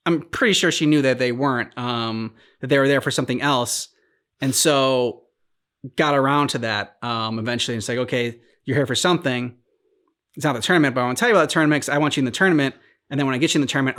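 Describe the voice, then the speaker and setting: A man co-hosting a reaction channel, casually working through his points in a halting way and acting out imagined dialogue. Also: medium pitch, a bit bright.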